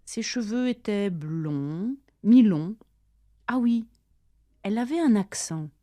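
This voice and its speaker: A woman reads her half of a scripted practice dialogue, slowly and with deliberate care so learners can follow. Her tone is warm and mellow, and the recording is dry and close, with no room sound. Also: mid-range voice, expressive, dry recording. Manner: careful, deliberate delivery for learners. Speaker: language-lesson dialogue reader. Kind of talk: slow scripted dialogue, each line read twice.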